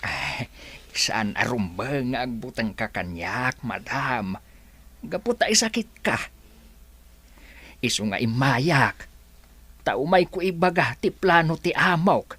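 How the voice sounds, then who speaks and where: A man's voice: mid-range voice, lively intonation, slow, long pauses, sharp tone, husky, dry recording, exaggerated voice. A man with an Ilocano accent playing a timid, loyal older resort worker in a radio drama, hesitant, stammering and deferential. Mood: earnest, warning.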